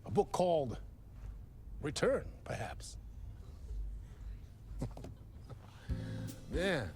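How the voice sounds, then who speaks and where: A man's voice: a little high, big pitch swings, extremely slow, soft background music, full character voice. An actor playing an effusive, teasing male TV talk show host with a showy, playful manner and dramatic emphasis. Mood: amused, flattering. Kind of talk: theatrical late-night talk show hosting.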